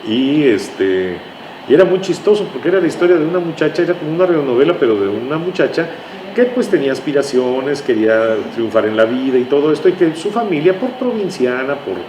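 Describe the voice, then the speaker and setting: A man's voice: fairly high pitch, sing-song, brisk. A man with a Mexican accent from Tabasco, an interview guest reminiscing conversationally and often searching for words. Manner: hesitant, searching for words, repeats phrases. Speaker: interview guest, writer and radio drama veteran. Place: indoors in a bookstore. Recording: roomy echo, faint background noise.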